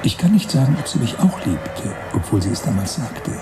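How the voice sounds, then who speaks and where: An actor playing a wistful, romantic writer-narrator in a literary radio drama, musing deliberately in short phrases. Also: male voice, mid-range voice, very animated, close to an everyday voice. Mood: wistful, nostalgic. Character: wistful romantic male narrator-writer recalling his emigration voyage. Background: music.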